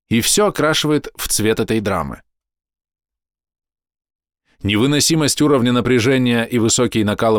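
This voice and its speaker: A man reading a scripted explanatory voice-over in measured, deliberate short phrases, close to the microphone. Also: mid-range voice, no echo. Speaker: narrator.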